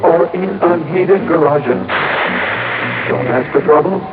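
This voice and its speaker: A man's voice: fairly high pitch, takes it slowly. A man performing a slogan in the style of a vintage radio commercial jingle. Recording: muffled vintage radio, loud music.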